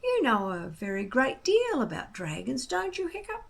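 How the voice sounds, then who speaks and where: Give the storybook's old Viking grandfather , as a woman reads him, a high, creaky voice with big swoops. He is wise and gruff but patient, and leans slowly on his words. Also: huge pitch swings, exaggerated voice. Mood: thoughtful. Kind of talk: children's storybook character voice.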